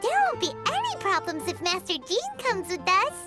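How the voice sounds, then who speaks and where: Squeaky lil fairy sidekick for an anime game, super animated, loud music behind. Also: female voice, moderate pace, exaggerated voice. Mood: chirpy, excited. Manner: talks of herself in third person. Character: tiny flying fairy-like companion girl, chirpy and excitable. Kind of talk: anime video game voice acting.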